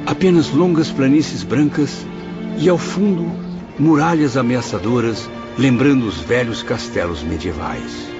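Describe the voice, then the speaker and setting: A man's voice: mid-range voice, unhurried, lightly acted. An actor in a radio drama playing an anguished man's spirit who recalls the afterlife in a dramatic, awed, reflective way. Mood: somber, awed. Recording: dull lo-fi radio drama under music.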